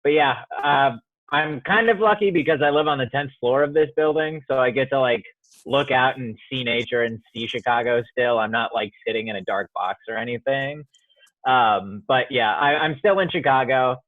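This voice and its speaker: A man's voice: medium pitch, dry recording. A young man and student playwright from Iowa, talking about his work on a remote interview call, rambling and often restarting his thoughts. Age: young adult. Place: home studio apartment. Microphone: phone or video call. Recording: dry tinny phone line.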